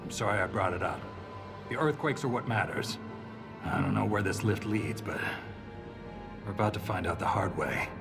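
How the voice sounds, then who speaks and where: A pragmatic dwarf soldier from a fantasy game, with a fairly low male voice. He is blunt and wry, a skeptical traditionalist with a gruff manner. Music sits clearly behind the dialogue. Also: normal speed, long pauses. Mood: grudging, earnest. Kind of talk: video game cutscene dialogue.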